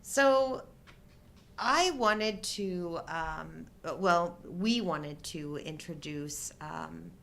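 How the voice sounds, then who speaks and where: A woman's voice: fairly low pitch, very slow, gravelly, fairly bright tone. A middle-aged woman co-hosting a live show in casual, playful, agreeable banter.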